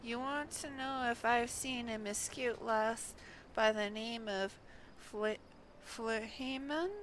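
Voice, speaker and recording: A woman voicing a gruff, blunt game character who grumbles in rough, colloquial speech. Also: fairly high pitch, steady tone, takes it slowly, fairly bright tone, a little hiss or hum, rough recording. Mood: grumbling. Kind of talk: game dialogue read aloud in character.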